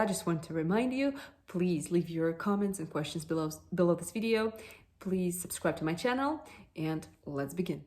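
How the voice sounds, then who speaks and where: A woman's voice: lowish voice, a little mellow, roomy sound. A woman hosting an interview, speaking in a friendly way with frequent restarts. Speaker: host. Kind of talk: casual interview.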